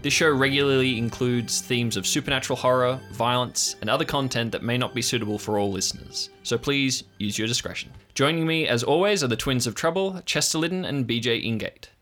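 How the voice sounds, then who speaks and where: A man's voice: mid-range voice, fairly quick, hardly any pauses, a bit bright, soft background music. A man hosting and narrating an improvised audio drama as its game master.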